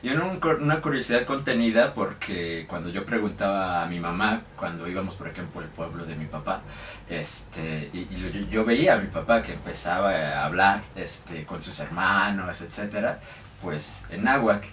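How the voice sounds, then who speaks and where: A man's voice: low-pitched, normal speed, fairly bright tone. A young Mexican man with a Mexican accent, talking in a casual interview and hesitating often, with many fillers and restarts. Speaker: guest. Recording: echoey room, mic at a distance, faint noise.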